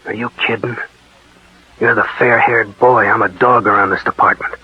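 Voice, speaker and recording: A man's voice: lowish voice, fairly even intonation, moderate pace, slightly rough, no echo. A man playing a hard-boiled, weary narcotics detective in a 1940s radio drama. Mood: sour. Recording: dull, muffled 1940s radio recording.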